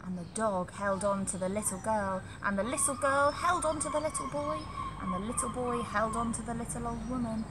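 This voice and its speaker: A woman reading a story aloud to young children in an animated, deliberate, emphatic way, as a nursery storyteller. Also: sits high, noisy background.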